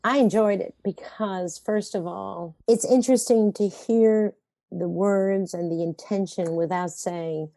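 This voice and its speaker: A woman's voice: medium pitch, fairly even intonation, a little slow, mellow, no echo. A woman playwright chatting in an interview, telling wry, self-mocking stories from her life. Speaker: playwright guest. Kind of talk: conversational interview.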